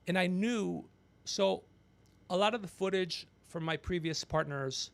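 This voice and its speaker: A man hosting a livestream, rambling comedically with blunt, profane, teasing banter and a creaky voice. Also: high-pitched, a little slow. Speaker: podcast livestream host.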